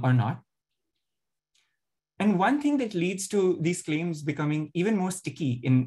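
A man giving an academic talk over a video call, explaining with frequent fillers and restarts. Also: fairly high pitch. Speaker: panel presenter. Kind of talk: academic presentation.

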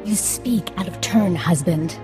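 A woman's voice: on the low side, measured pace, music in the background, natural voice. An actress playing a stern female alien tribunal judge in a video game, speaking in a clipped, formal way.

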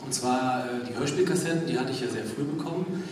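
A man's voice: medium pitch, brisk, a little mellow. Man, strong reverberation, noticeable noise, casual questioner at a panel. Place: large convention hall. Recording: echoey hall from far off, noisy.

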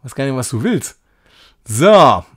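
A man's voice: mid-range voice, sing-song, measured pace, fairly bright tone, breathy edge. A man giving casual live game commentary as a streamer, thinking aloud in short muttered phrases. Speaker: streamer playing a retro puzzle game.

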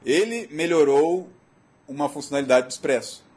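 A Brazilian man with a slightly husky voice giving a technical presentation in a halting, repetitive, explanatory way. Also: fairly high pitch, lively intonation, a little mellow. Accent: Brazilian Portuguese. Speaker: technical speaker. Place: auditorium.

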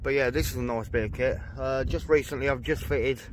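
A man's voice: medium pitch, fairly even intonation, a little fast. Man rambling on a small boat. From England. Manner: rambling, with fillers and self-corrections. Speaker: fishing vlogger. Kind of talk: casual gear review. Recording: outdoor noise on the water.

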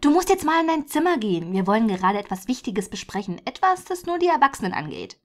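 A man voicing a caring mother who speaks softly and in a hush. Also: extremely high pitch, very animated, fast, voice pushed up. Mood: kind. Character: caring, busy mother, adult woman.

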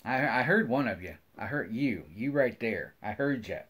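A man's voice: mid-range voice, lively intonation, moderate pace, a bit bright, husky. A middle-aged man with an American accent chats casually to viewers as a hobby vlogger, rambling in a folksy way and often repeating his phrases. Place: wood-paneled room at home, indoors.

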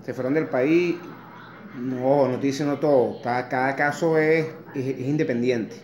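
A man's voice: medium pitch, steady tone, moderate pace, darkish tone. Indignant Venezuelan man ranting on phone. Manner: indignant, blunt, swears, sarcastic mocking asides. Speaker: vlogger. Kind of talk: angry opinion rant to camera. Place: kitchen at home indoors. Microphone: phone camera held close. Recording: echoey room on phone.

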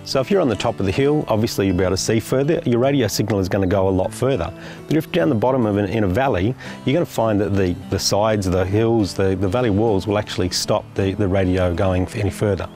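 A man's voice: lowish voice, quick pace, darkish tone, soft background music. An Australian man in his forties presenting an explainer to camera, stressing key terms. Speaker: company presenter.

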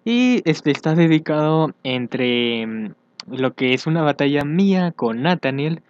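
A young man chatting casually as he reads social media aloud for a vlog, hesitant and thinking out loud. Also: fairly high pitch, expressive, unhurried, dry recording. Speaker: fandub YouTuber. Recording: dull, lo-fi home microphone.

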